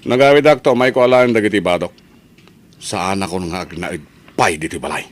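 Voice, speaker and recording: A man's voice: deep voice, fairly quick, lightly acted. A man playing a stubborn young son in a family radio drama, speaking insistently and emphatically. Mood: defiant. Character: stubborn, restless young son desperate for money.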